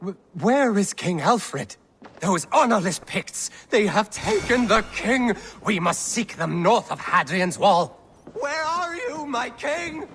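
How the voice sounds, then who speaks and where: A man's voice: high voice, big pitch swings, slow, a bit bright, slight background noise, heavily acted. An actor playing a deluded, proud old man in a video game, rambling and grandiose. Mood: frantic, distraught. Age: older. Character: deluded old Saxon man, proud, fretful, wandering-witted. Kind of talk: video game cutscene acting.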